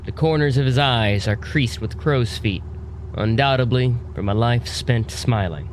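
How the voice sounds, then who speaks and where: A man narrating a scripted audio drama in a measured, descriptive way. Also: mid-range voice, a little hiss or hum.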